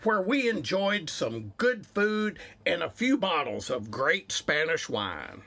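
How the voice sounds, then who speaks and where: A man's voice: a little high, very animated, slow pace, vocal fry, sharp tone. A man reading scripted voice-over narration for a travel video, upbeat in tone.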